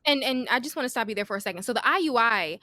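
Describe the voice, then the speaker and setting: A woman's voice: fairly high pitch, animated, rapid-fire, bright, forceful tone, no echo, clean studio sound. A woman in casual conversation, explaining and clarifying details in an informative way. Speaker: podcast speaker adding explanations.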